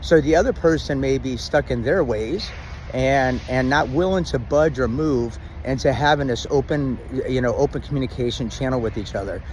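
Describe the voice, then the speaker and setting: A man's voice: mid-range voice, normal speed, a little hiss or hum, rough recording. Rambling middle-aged man outdoors. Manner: rambling, many restarts and ums; says he has a loud, dominant voice. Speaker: vlogger giving relationship advice. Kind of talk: casual talk to camera.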